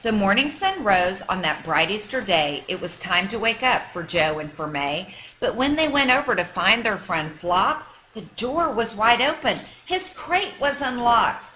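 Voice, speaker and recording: A woman reading a children's picture book aloud like a teacher, with expressive, dramatic storytelling for young listeners. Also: medium pitch, unhurried, a little hiss or hum, low-quality recording.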